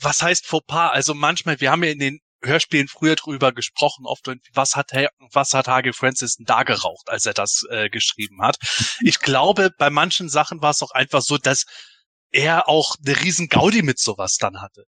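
Bright-voiced male podcast panelist. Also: medium pitch, moderate pace, no echo. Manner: enthusiastic, rambling, anecdotal. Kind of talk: casual chat, fan commentary.

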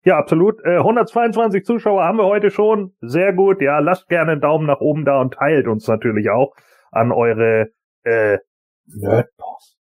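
A man co-hosting a podcast, chatting casually and colloquially with halting asides. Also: a little high, dry recording, narrow, tinny audio. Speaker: podcast co-host. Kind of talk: casual anecdotal chat.

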